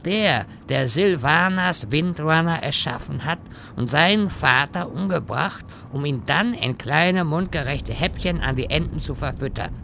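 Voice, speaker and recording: A man's voice: on the high side, expressive, a little slow, vocal fry, pushed and bright, slight background noise, heavily acted. A man playing an irritable, sardonic wizard who lectures his companions in a German audio drama parody. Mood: exasperated. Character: exasperated, sarcastic male wizard guiding bumbling adventurers.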